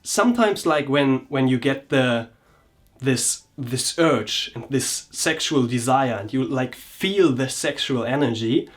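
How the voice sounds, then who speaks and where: A man reflects on his own experience for a vlog. He speaks at a middle pitch and picks his words slowly, often stopping to restart a sentence. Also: animated. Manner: hesitant, searching for words, frequent restarts. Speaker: vlogger and coach.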